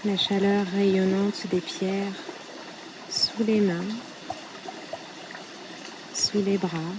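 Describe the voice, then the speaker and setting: A woman leading a guided yoga nidra meditation in a soothing, unhurried way, with short phrases and long pauses. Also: medium pitch, level tone, noisy background. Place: outdoors at a riverbank. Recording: outdoor recording with loud running river water. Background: flowing, trickling stream water.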